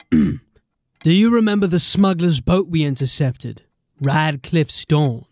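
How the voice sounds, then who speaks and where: An earnest, unhurried watch sergeant. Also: male voice, fairly high pitch, lively intonation, darkish tone. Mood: defensive. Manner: deferential but insistent. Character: earnest, dogged male watch sergeant and investigator. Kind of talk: fantasy audio drama acting.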